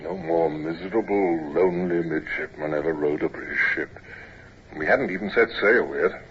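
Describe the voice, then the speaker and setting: An actor playing a naval officer who narrates his miserable youth in a measured, reflective way, in a 1950s radio drama. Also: low-pitched, natural voice. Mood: grave, reflective. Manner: measured, reflective, grave storytelling. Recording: muffled, noisy vintage radio recording.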